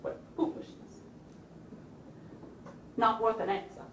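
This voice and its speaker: Woman acting a proud southern first lady in a stage play, highish voice, theatrical and genteel, echoey room far from mic. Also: takes it slowly. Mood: indignant, scornful. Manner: theatrical, genteel, emphatic, swinging from anguish to haughty scorn. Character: proud, indignant Southern First Lady of the Confederacy, wounded mother. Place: theatre stage, recorded from a distance. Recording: roomy distant stage recording with hiss.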